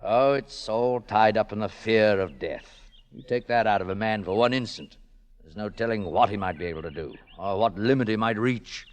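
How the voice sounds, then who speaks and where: A man acts out a lonely, resolute businessman in an old radio play. His voice is low and brooding, his delivery measured. The vintage recording rings with long reverb. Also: natural voice. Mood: grimly philosophical. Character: world-weary lonely widowed businessman, resolute and fatalistic. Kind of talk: 1940s radio drama acting.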